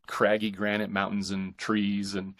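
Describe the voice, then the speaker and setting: A man's voice: lowish voice, completely flat delivery, a little slow, a bit bright. A middle-aged man with an American accent, talking casually as an interview guest in hesitant, meandering phrases. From northeastern California, United States. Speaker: podcast guest, author and pastor.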